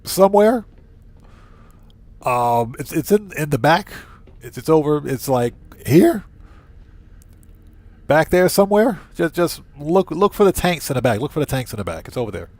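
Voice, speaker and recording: Higher-voiced man hosting a casual game stream. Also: big pitch swings, moderate pace, pauses often, darkish tone, no room sound. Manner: chatty, thinking aloud, addressing viewers informally. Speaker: livestream host. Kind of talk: casual video game livestream commentary.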